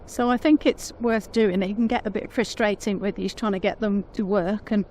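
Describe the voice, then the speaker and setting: A woman with a naturally quiet voice, chatting casually on a vlog and laughing often. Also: medium pitch, steady tone, moderate pace, a little hiss or hum. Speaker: motorcycle vlogger, pillion rider. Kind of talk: casual chat.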